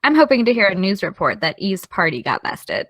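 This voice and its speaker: A woman playing a caring, gently teasing young woman in an audio drama, speaking in a casual, slightly tentative way. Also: mid-range voice, sing-song, a little fast, no echo. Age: young adult. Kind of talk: naturalistic audio drama dialogue.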